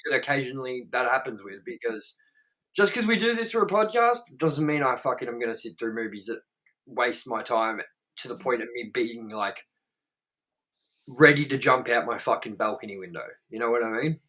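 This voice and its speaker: A man co-hosting a podcast in loose, rambling casual chat. He swears freely and keeps circling back with fillers while he works out his point. His voice sits at an ordinary middle pitch. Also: expressive, normal speed, sharp tone, low-quality recording. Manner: rambling, profane, with lots of fillers. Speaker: podcast co-host.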